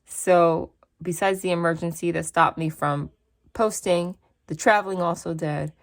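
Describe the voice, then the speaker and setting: A woman tarot reader from New Jersey, chatting casually and frankly to her viewers from a desk at her parents' house, with a natural vocal fry and a slightly raspy voice. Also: fairly low pitch, fairly even intonation, a little slow. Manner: chatty and rambling, frank asides.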